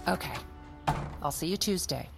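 A woman playing a measured, firm therapist in an audio drama. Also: lowish voice, expressive, gravelly, over loud music. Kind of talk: audio fiction acting.

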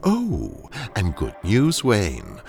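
Deep, gravelly, sinister corporate trainer. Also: male voice, wildly swooping pitch, full character voice. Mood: coaxing, falsely cheerful. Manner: slow, deliberate, coaxing. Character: ruthless, sinister male corporate training engineer, smooth and controlling. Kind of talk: audio drama acting.

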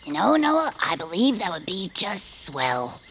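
A woman playing an earnest, hesitant best friend in an audio comedy, deliberate and matter-of-fact with dry wit. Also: lowish voice, big pitch swings, fairly bright tone, slight background noise, heavily acted, poor audio. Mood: agreeable. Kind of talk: audio comedy drama acting.

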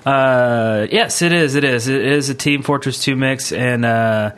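A man's voice: mid-range voice, a little slow, fairly bright tone, smooth. A man co-hosting a casual gaming podcast, chatting in an informal, rambling way. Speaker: podcast co-host.

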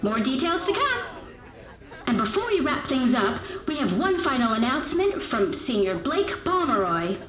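A woman playing an upbeat high school student reading school announcements. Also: very animated, normal speed, a bit bright, some chatter behind. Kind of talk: peppy scripted school announcements. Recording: echoey school announcement sound.

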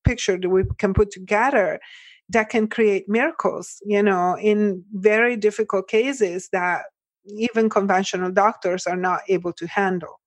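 A middle-aged woman with an Italian accent, a nutrition practitioner speaking earnestly as a guest in an interview, full of "like" and "you know" and quick restarts. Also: medium pitch, fairly even intonation, no echo. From Italy. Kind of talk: podcast interview.

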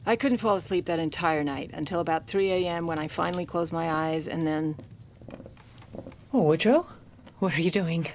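An actress playing a protective, evasive First Lady, speaking in a halting, confessional way in an audio drama. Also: on the low side, slight background noise, lightly acted. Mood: worried. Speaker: actor and narrator in an audio drama. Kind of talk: dramatic first-person narration and dialogue.

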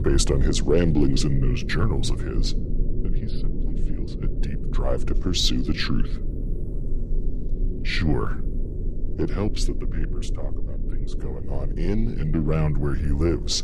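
An actor playing a knowing, ominous narrator of a horror audio drama, delivering scripted narration in a measured, deliberate way. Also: male voice, rumbling low, background noise, full character voice. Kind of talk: scripted narration, season recap.

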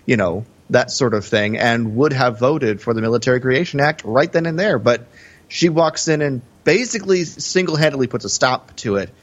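A man's voice: mid-range voice, lively intonation, moderate pace. Slightly muffled male podcast co-host. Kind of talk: casual film analysis chat. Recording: slightly dull, lo-fi audio.

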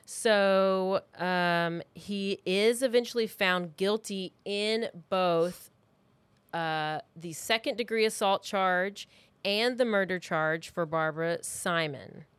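A woman's voice: medium pitch, slow, clear, resonant tone, no echo. A woman co-hosting a true crime podcast, telling the story informally from her notes in a conversational way.

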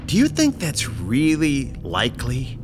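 An actor playing a calm, controlling male crime boss in an audio horror drama, polite and precise with quiet menace. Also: medium pitch, music in the background. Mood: quietly menacing. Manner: polite, precise, coolly deliberate.

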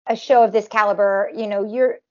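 A woman's voice: medium pitch, fairly even intonation, a little fast, a little mellow, dull audio. A woman with a nasal voice, a performer talking casually in an interview, full of restarts and fillers. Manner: talks in long runs with many restarts and fillers. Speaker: tribute performer guest.

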